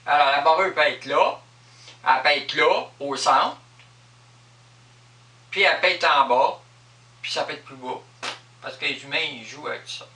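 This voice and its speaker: An echoey recording of a man speaking a little away from the microphone. His voice is very bright and sharp, with a Quebec French accent. He explains things off the cuff, emphatically and with a lot of repetition. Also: on the high side, normal speed, pauses often. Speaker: vlogger explaining personal spiritual beliefs. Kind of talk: improvised explanatory monologue. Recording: echoey room, distant microphone.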